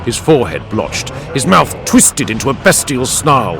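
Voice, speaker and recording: A man's voice: mid-range voice, sing-song, moderate pace, gravelly. A man reading dramatic scripted narration as an audiobook narrator. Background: music and added sound effects.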